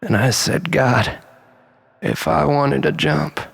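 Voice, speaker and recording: Slow husky man in long reverb. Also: on the high side. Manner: rambling, melodramatic, mock-philosophical. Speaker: storyteller. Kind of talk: dramatic comic monologue storytelling. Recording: long reverberant hall echo.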